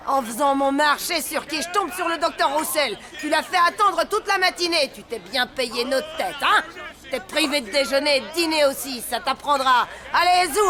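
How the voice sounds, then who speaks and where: An actress playing a bossy, scolding restaurant keeper in a cartoon, with a loud, blunt, colloquial manner. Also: female voice, high voice. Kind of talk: French animated series dubbing.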